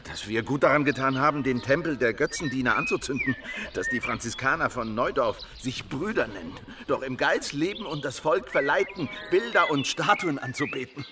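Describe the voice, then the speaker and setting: An actor playing an earnest, devoted young disciple of a preacher in a historical radio drama, respectful yet zealous. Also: male voice, medium pitch, animated, moderate pace, background noise, natural voice. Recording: distant microphone, music underneath.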